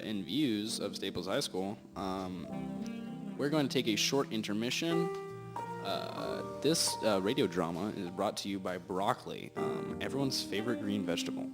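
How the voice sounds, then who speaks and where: Brief: young male narrator and host for amateur radio drama, lowish voice, loud guitar music underneath. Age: teens or young adult. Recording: amateur audio with music behind.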